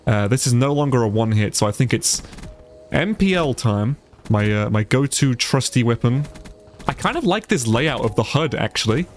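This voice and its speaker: A man's voice: medium pitch, lively intonation, moderate pace, slight background noise. A man with a stuffy, congested nose giving casual, sweary let's play commentary and sounding easily amazed. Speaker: gaming YouTuber, player.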